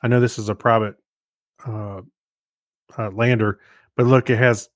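A man's voice: fairly low pitch, little pitch movement, measured pace, no room sound. A man in casual conversation as a podcast co-host, tentatively thinking aloud. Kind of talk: casual chat.

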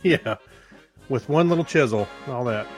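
A man's voice: medium pitch, expressive, normal speed, soft background music. A man co-hosting a podcast, chatting casually and reading items aloud. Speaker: podcast co-host.

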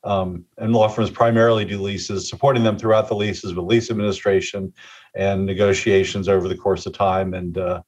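Older man, halting, muffled. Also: low-pitched, little pitch movement, darkish tone. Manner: halting, many fillers. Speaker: guest, real estate executive. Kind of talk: interview answers. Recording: slightly muffled mic.

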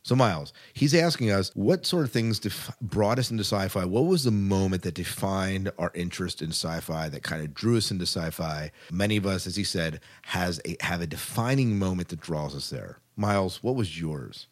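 A man's voice: sits low, expressive, normal speed, few pauses. A man co-hosting a podcast in a casual, informal way, often rephrasing himself as he chats. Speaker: podcast co-host.

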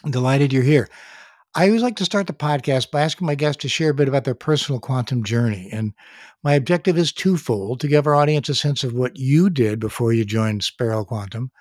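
A man's voice: mid-range voice, brisk, darkish tone, dry recording, clean studio sound. A man hosting a podcast, reading a polished guest introduction and asking interview questions. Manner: polished, reads guest bio. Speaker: podcast host.

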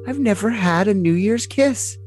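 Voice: mid-range voice, expressive, normal speed, a little mellow, soft background music, natural voice. A performer voicing a sweet, submissive young woman in love, in an overly dramatic, gushing reading of messages. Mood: earnest.

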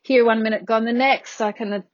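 A woman's voice: on the high side, fairly even intonation, normal speed, no echo, lo-fi sound. A woman, a guest chatting casually and irreverently about her work, laughing often. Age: 50s. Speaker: interview guest, yoga business founder. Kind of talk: casual conversation.

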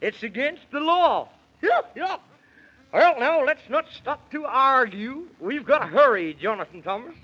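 An actor playing a jolly, fussy fantasy guide with folksy exclamations and singsong rhymes in a 1930s children's radio serial. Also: male voice, very high voice, expressive, a little slow, pushed and bright, breathy edge, full character voice. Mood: fussy, bustling. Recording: muffled, noisy 1930s radio recording.

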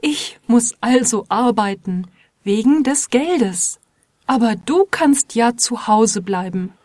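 A woman playing a determined mother in a slow, clearly spoken scripted dialogue. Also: fairly high pitch, lively intonation, a little mellow, no echo, clean studio sound. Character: determined mother wanting to return to work.

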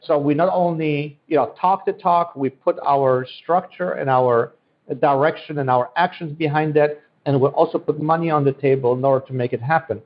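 Man, from Central Europe, native Polish speaker, earnest promotional podcast guest, repeats phrases, slightly high voice, lo-fi. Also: moderate pace. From Central Europe, born in Poland, raised in Germany. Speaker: economic development guest. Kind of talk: podcast interview answers. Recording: dull, lo-fi audio.

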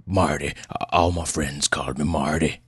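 Casting: male narrator as elderly hillbilly, very low gruff voice, halting and folksy, wary, audiobook character, dry sound. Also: steady tone, no echo. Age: 70s. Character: gruff old backwoods mountain man, 74, rambling and suspicious.

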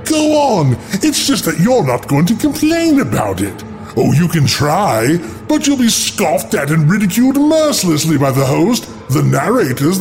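A man's voice: fairly high pitch, a little mellow. A man playing a gleeful, mocking ghoul of a horror host, announcing in a theatrical, taunting singsong. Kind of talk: scripted macabre announcement with puns.

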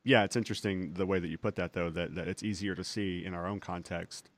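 A man from Texas hosting an interview, talking casually in quick, hesitant bursts with lots of fillers. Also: low-pitched, steady tone. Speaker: podcast host.

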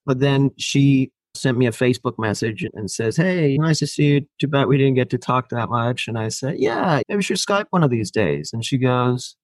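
Finnish man, native Finnish speaker, animated podcast guest, mid-pitched voice, dry recording. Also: normal speed, hardly any pauses, dry recording. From Finland. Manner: animated storyteller who acts out quoted lines and laughs often. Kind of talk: casual storytelling interview.

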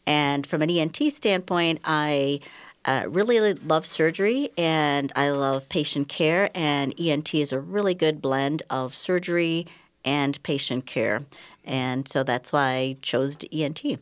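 Low-voiced woman from the Bahamas. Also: unhurried, smooth, no room sound. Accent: Bahamian, faint. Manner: warm, chatty, frequent fillers and laughs. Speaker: ENT surgeon guest. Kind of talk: casual radio interview.

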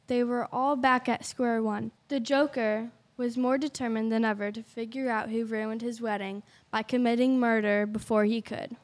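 A woman's voice: a little high, steady tone, moderate pace, few pauses. A female narrator reading a scripted story for an amateur youth radio play.

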